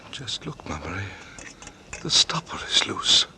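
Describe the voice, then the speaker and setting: An actor playing a chummy, cautious English bachelor friend, clipped and a little hesitant, in 1940s radio suspense style. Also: male voice, lowish voice, very animated, measured pace, creaky, pushed and bright, heavily acted. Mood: worried. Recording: noisy vintage radio drama recording.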